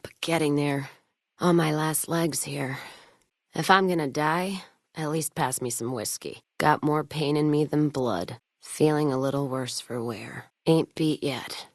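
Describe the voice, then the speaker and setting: A tough, hard-drinking American caravan woman from a frontier video game. Her voice sits low, recorded close and dry. She talks in folksy, sardonic slang and swears freely. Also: unhurried, no echo. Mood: pained, weary. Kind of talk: video game character voice lines.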